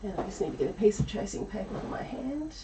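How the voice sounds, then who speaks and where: A woman with an Australian accent, teaching a relaxed live drawing lesson while thinking aloud, her voice croaky and breathy from a chest cold. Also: medium pitch, fast talker, noisy background. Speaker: art teacher.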